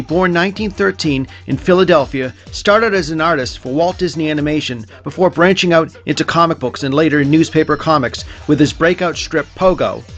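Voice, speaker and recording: A man speaks as a podcast host, introducing a live show. His voice sits fairly high, and music plays softly behind him. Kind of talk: scripted introduction and live hosting. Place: live venue at a hotel.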